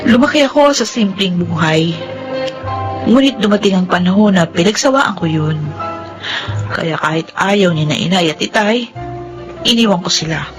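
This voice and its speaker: A woman's voice: mid-range voice, animated, normal speed, pauses often, over music, lightly acted. An actress with a Filipino accent playing a vain, carefree young kept woman in a radio drama, self-satisfied and coquettish. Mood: reflective narration. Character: Agnes, vain carefree young kept mistress, former waitress.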